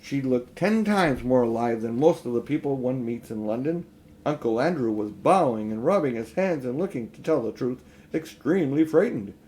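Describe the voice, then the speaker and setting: An older man narrating a children's storybook in an expressive, emphatic storytelling manner. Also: mid-range voice, moderate pace, poor audio. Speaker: audiobook reader.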